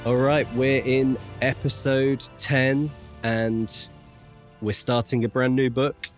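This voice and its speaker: A man's voice: mid-range voice, little pitch movement, takes it slowly, soft background music. A man hosting a book-club podcast in a conversational presenting style, close to the microphone. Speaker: podcast host. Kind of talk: podcast introduction and casual book discussion.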